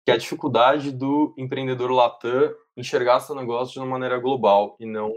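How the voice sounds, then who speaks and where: A Brazilian man acting as interview host, speaking in a calm, measured way at a mid pitch. He thinks aloud and hedges before he gets to each question. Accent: Brazilian Portuguese.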